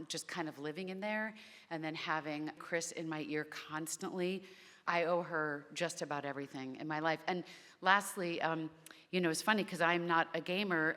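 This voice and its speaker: A woman voice actor on a convention panel, talking in a rambling, heartfelt way with many restarts and a naturally low voice. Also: fairly bright tone, big-room sound.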